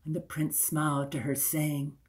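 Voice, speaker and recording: An older man in his sixties telling a folktale in a dramatic, deliberate way, repeating phrases for effect. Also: on the high side, completely flat delivery. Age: older, 60s. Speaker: storyteller. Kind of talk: dramatic oral folktale storytelling. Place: small room indoors by a window.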